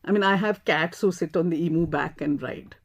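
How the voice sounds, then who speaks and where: A woman's voice: on the low side, brisk. A woman with an Indian accent speaking reflectively and earnestly in a panel discussion, repeating phrases for emphasis. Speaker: animal rights activist, panelist.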